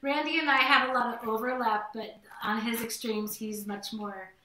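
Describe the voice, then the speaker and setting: A woman's voice: a little high, fairly even intonation, moderate pace, bright, forceful tone, echoey room, slight background noise, rough recording. A middle-aged woman, a singer-songwriter, chatting on a video call in a rambling casual way. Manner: chatty, rambling, says "like" often. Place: home room with green wall.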